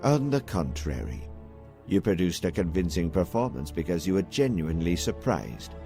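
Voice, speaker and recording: Alien naval admiral played by a man: deep, unhurried and formal, coolly calculating, with music under the lines. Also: sing-song, vocal fry, warm tone, exaggerated voice. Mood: assured. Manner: measured, formal, composed. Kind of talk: scripted comic dub acting.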